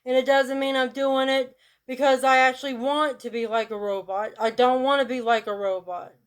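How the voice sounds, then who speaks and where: A woman with a rural American country accent talking to camera in a blunt monologue, keeping her delivery flat and robot-like on purpose. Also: sits high, moderate pace, clear, resonant tone. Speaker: vlogger. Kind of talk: casual direct-to-camera monologue.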